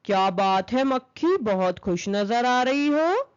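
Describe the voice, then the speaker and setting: A woman's voice: on the high side, expressive, slow pace, clean tone, highs cut off. A woman voicing an old grandfatherly elephant in a children's story, polite and humoring. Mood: amused, humoring.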